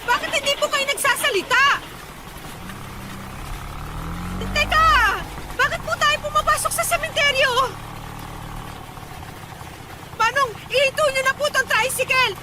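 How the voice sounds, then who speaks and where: A woman's voice: extremely high pitch, fairly even intonation, a little fast. An actress playing a polite young Filipino woman in a radio drama, scared and pleading. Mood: anxious, alarmed.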